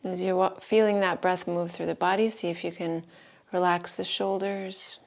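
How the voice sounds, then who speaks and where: A woman yoga teacher giving guided meditation and breathing instructions in an unhurried, soothing manner with long pauses. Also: on the low side, little pitch movement. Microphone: live video call stream.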